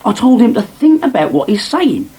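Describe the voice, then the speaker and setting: An actor playing a teasing, wily farm lad in a radio soap. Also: male voice, very high-pitched, sing-song, moderate pace, dark tone, husky, heavily acted. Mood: grumbling. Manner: teasing, sly, needling. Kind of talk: British radio soap acting.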